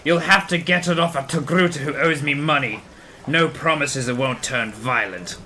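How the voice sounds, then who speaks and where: Man playing a sharp, bright-voiced underworld contact. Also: on the high side, normal speed, a little hiss or hum. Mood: brisk. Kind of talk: scripted audio drama acting.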